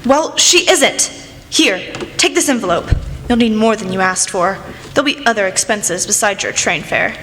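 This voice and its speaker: A woman's voice: medium pitch, expressive, normal speed, a bit bright, lightly acted. A woman playing a crotchety, imperious old woman in a radio play, curt and scolding. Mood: impatient, curt. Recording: echoey hall with noise.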